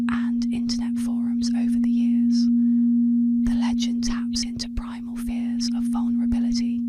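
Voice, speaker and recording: A woman narrating a scripted tale as ASMR in a soft, breathy whisper, gentle and measured, close to the microphone. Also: a little high, heavy background noise. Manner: gentle, hushed, measured storytelling. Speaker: ASMR host and storyteller.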